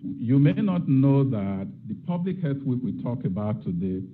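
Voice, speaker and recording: A man's voice: mid-range voice, sing-song, normal speed, narrow, tinny audio. A middle-aged man from Nigeria, giving an academic lecture and posing rhetorical questions as a guest lecturer. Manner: reflective, asks rhetorical questions.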